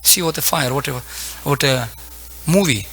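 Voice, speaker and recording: A man who is not a native English speaker gives a devotional sermon in short, halting phrases, searching for words and quoting scripture. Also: medium pitch, a bit bright, breathy edge, low-quality recording. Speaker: preacher giving a scripture lecture. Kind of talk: devotional sermon with Sanskrit quotations.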